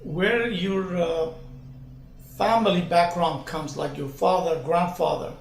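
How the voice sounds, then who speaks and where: A man interviewing someone casually, putting his questions in a halting way. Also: sits high, vocal fry. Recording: echoey room with background noise.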